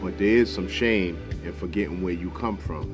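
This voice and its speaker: A broad-shouldered, quietly dignified field hand, a man from a told story, acted out by a male narrator. He speaks plainly and steadily in a low voice. Faint music plays underneath. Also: moderate pace, lightly acted. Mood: calm reproach. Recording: music behind the voice.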